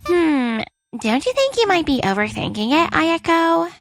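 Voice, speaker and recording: A woman's voice: very high-pitched, very animated, a little slow, soft background music, heavily acted. A woman playing a breezy, dismissive best friend in her thirties in a dubbed story.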